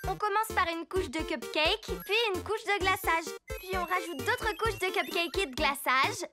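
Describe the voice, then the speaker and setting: A woman's voice: very high-pitched, moderate pace, music in the background. A woman voicing an eager, upbeat child superhero in a French-dubbed children's cartoon, full of enthusiasm. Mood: explaining brightly. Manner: exclamatory, enthusiastic. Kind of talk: animated children's cartoon dub.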